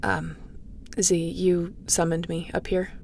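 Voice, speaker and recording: A woman's voice: lowish voice, sing-song, slow, a little mellow, a little hiss or hum. A woman playing a guarded, wry starship captain and ace pilot in an intimate audio roleplay, with a sardonic, conversational way of talking. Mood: wry, exasperated. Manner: sardonic, conversational, sighing and teasing.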